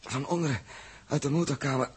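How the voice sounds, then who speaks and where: An actor playing a strained, exhausted but dogged spaceship captain in a 1960s radio drama, halting and repeating himself. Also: male voice, medium pitch, breathy edge. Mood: strained, urgent. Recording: slightly noisy 1960s radio recording.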